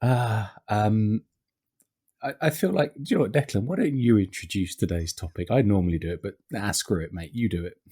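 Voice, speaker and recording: A British man hosting a casual podcast, his voice very low and deep. It is husky and croaky from a lingering cold. He rambles through his points with fillers and frequent chuckles. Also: a little fast, dark tone. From Britain. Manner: rambling, laughs often, many fillers. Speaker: podcast host.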